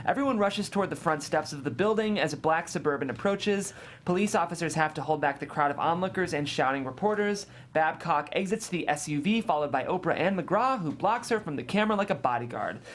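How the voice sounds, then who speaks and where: A man's voice: a little high, animated, fairly quick, a little hiss or hum. A man reading scene descriptions and stage directions as scripted narration at a table read.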